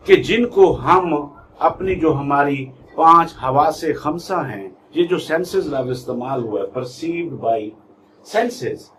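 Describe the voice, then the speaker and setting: A man with a Pakistani accent giving an instructional lecture in a deliberate, emphatic way, repeating key terms. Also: mid-range voice, low-quality recording. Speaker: law lecturer, YouTube channel host. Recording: faint background noise.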